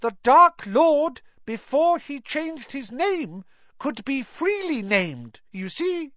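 A man voicing a small, devoted male house-elf servant in an audiobook, shrill and emphatic, speaking of himself in the third person. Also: sing-song, takes it slowly, pushed and bright, dry recording, lo-fi sound, exaggerated voice. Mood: earnest. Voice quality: shrill, squealing.

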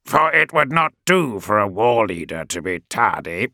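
A man voicing a wry male adviser who speaks in a measured, mockingly sober, deliberate way. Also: on the low side, big pitch swings, sharp tone, no room sound, clean studio sound, heavily acted.

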